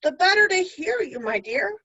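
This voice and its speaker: Sly fairy-tale wolf in granny disguise, female reader, coaxing, swooping high, dull recording. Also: very animated, normal speed, pushed and bright, exaggerated voice. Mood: crafty. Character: cunning wolf faking a weak, sweet old granny. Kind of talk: children's storybook read-aloud.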